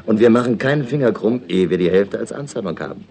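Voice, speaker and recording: A brazen male crook in a 1960s radio play. His deep voice is gravelly and moves in wide swings, slyly mocking and shrewd as he bargains. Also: expressive, quick pace, dark tone. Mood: brazen, bargaining. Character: brazen, shrewd male crook haggling over a shady deal.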